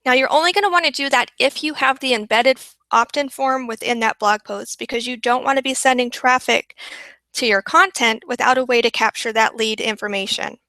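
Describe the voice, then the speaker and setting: A woman's voice: fairly high pitch, a little fast, pushed and bright, no room sound. A woman presenting a marketing webinar, upbeat and repeating points for emphasis, her voice worn and going. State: losing her voice.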